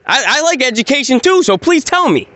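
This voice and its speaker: A man's voice: very high voice, very animated, a little fast, pushed and bright. A man in his forties filming police on a street at night, arguing in an insistent, repetitive, rambling way. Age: 40s. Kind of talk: heated street argument.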